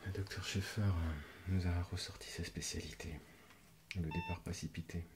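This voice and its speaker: A man playing an earnest, affectionate middle-aged doctor and father in a whispered ASMR roleplay, halting and sincere. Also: sits low, fairly even intonation, background noise. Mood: resigned.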